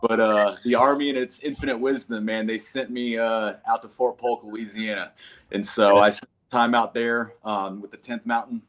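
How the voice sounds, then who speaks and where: A man's voice: on the low side, flat delivery, moderate pace, a bit bright, poor audio. A man in his thirties from North Carolina, a combat veteran talking casually and earnestly as an interview guest. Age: 30s. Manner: earnest, folksy, calls listeners man and brother.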